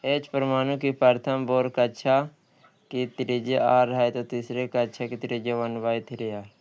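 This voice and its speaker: A man's voice: mid-range voice, completely flat delivery, normal speed, a little mellow, clean tone. A man working through practice questions as a coaching teacher, reading each one out and repeating questions and answers.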